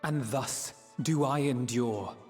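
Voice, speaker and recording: A man playing an earnest, eloquent young scholar in a video game, speaking in formal, measured, poetic phrases. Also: mid-range voice, natural voice. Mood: solemn, hopeful. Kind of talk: scripted narration and dramatic dialogue. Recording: long reverb, music behind.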